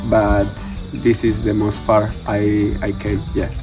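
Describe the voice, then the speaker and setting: A twenty-two-year-old man from Barcelona with a Spanish accent, answering earnestly in halting English. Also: on the low side, monotone, music in the background. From Barcelona, Spain. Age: 20s. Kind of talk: casual interview answers.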